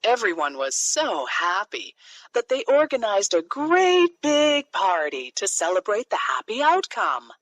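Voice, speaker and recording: A woman narrating a children's fairy tale from a script, in an expressive, deliberate storytelling manner. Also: medium pitch, no room sound, poor audio.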